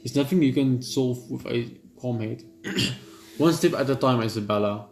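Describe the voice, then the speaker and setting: A man reading a horror visual novel aloud in the first person, steady but often stumbling and restarting lines. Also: medium pitch, normal speed. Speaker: gaming channel host. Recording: roomy room with loud game music.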